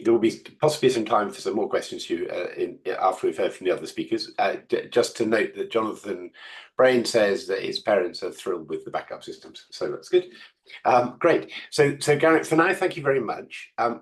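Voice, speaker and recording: Man chairing an online call, low-ish voice, loose and chatty with ums. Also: moderate pace. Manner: casual, with fillers and restarts. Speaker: meeting chair.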